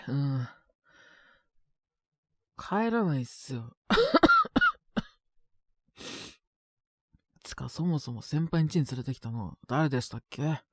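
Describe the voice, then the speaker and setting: A man's voice: fairly high pitch, a bit bright, breathy edge, no echo, clean studio sound, heavily acted. An actor playing a sick young man with a cough, grumbling politely to his senior in an intimate Japanese voice drama. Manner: casual junior speech, mumbled reluctant replies, sighing. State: ill with a cold, frequent coughing fits. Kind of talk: Japanese boys' love situation voice drama, intimate one-sided dialogue.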